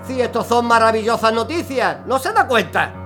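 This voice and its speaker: An actor in a radio drama playing a buoyant, naively confident nationalist supporter. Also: male voice, very high voice, big pitch swings, normal speed, over music. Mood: elated, confident.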